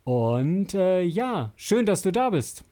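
Higher-voiced male podcast host. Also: big pitch swings, takes it slowly, warm tone. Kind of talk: casual chat, interviewing.

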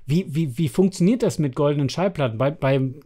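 A man hosting a casual podcast interview, talking haltingly and repeating words as he forms his questions. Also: fairly high pitch, mellow.

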